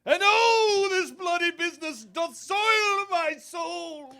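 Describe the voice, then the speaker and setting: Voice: very high voice, takes it slowly, pushed and bright, heavily acted. A performer playing a guilt-ridden, melodramatic Shakespearean murderer in a comic sketch, anguished and overwrought. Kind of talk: mock-Shakespearean sketch acting.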